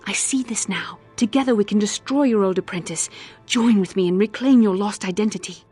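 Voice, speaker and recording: An actress playing a proud, seductive fallen Jedi in a video game, speaking with intense, deliberate delivery. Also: female voice, mid-range voice, warm tone, husky, faint music behind, natural voice. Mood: persuasive. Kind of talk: dramatic video game acting.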